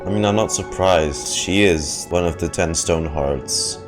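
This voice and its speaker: A woman's voice: extremely low pitch, unhurried, over music. A woman game streamer giving casual reaction commentary, conversational and musing.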